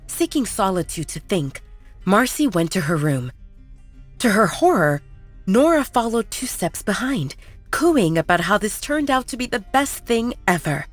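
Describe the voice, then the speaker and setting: Female audiobook narrator, slightly low register, lively dramatic range for serialized fiction. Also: no echo. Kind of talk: scripted dramatic story narration.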